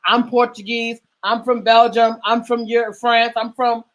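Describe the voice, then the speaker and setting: A woman's voice: a little high, little pitch movement, normal speed. A middle-aged woman hosting a radio talk show, speaking in an emphatic, lecturing way that repeats phrases for weight. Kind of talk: passionate discussion.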